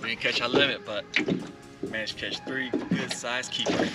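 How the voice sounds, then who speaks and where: A man's voice: medium pitch, steady tone, moderate pace, over loud music. A young man talking casually and slangily as he films a fishing vlog on a small open boat. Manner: slangy, short exclamations. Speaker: fishing vlogger. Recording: loud wind and boat noise, clicks.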